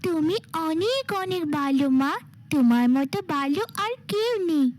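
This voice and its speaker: A woman's voice: high-pitched, expressive, normal speed, darkish tone. A woman voicing a devoted, timid little girl in a cartoon fairy tale, with a pleading, childlike manner. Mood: affectionate, trusting. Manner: pleading, childlike, affectionate way of addressing mother.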